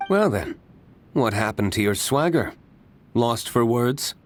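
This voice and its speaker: A man's voice: medium pitch, expressive, slow, a little hiss or hum. An actor playing a reserved young nobleman in a fantasy video game, terse and dryly needling. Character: reserved, dry young nobleman, master of a manor. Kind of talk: video game cutscene voice acting.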